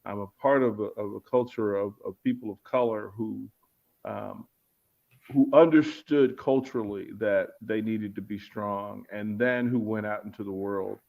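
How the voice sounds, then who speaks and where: A man with a somewhat low voice, talking in an easy, reflective way as a guest on a talk show. He often circles back and repeats words while he finds his phrasing. The sound is dull and muffled, like a lo-fi recording. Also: fairly even intonation, moderate pace, vocal fry. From Arlington, Virginia. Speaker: guest author and speaker. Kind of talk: casual interview chat. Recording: muffled lo-fi audio.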